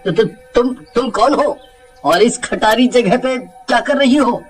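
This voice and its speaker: A man's voice: very high voice, slow, faint music behind. A man voicing a nosy young passerby in a story, heard slightly at a distance. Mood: startled.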